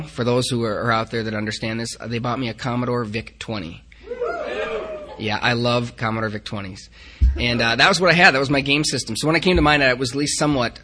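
A man with a bright, forceful voice speaks to a recovery group meeting about his own life. He is from the Upper Midwest, and he talks in a candid way, joking at his own expense. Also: medium pitch. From Upper Midwest of the United States. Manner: self-deprecating, joking, candid. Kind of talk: personal recovery talk to a group.

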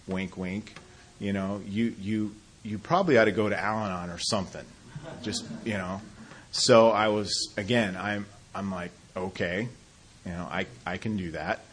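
A man sharing his own recovery story in a halting, self-deprecating way, often restarting sentences and laughing at himself. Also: lowish voice, slight background noise. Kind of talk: personal testimony talk.

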